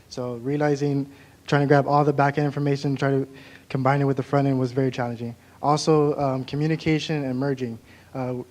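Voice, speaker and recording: A man's voice: medium pitch, darkish tone. A young man from the United States presenting a class project, casual and candid with some restarts. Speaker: student presenter.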